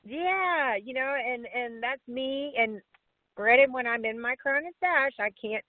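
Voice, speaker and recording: A woman's voice: high-pitched, measured pace, no room sound. Woman, fifties, folksy and chatty guest; tinny dry phone line. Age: 50s. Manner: chatty, folksy, laughs often. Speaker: pageant titleholder guest. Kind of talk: casual interview chat.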